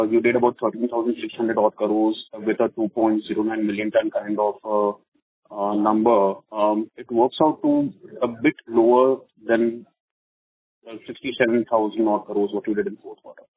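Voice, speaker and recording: A man's voice: fairly low pitch, steady tone, moderate pace. A man with an Indian accent asking questions as an analyst on an earnings call, hesitant and repeating his words. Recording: dry, narrow-band phone line.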